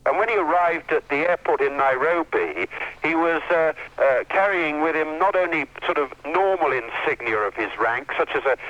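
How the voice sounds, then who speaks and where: Halting male reporter, dry muffled line. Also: fairly high pitch, a bit bright, dry recording. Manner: hesitant, halting. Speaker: radio reporter.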